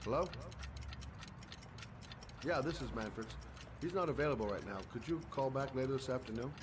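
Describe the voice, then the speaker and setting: Actor as a low-key private investigator, noisy background. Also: male voice, normal speed, close to an everyday voice. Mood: relaxed, friendly. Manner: polite, casual, low-key. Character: easygoing male private investigator, ex-policeman. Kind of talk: video game dramatic acting.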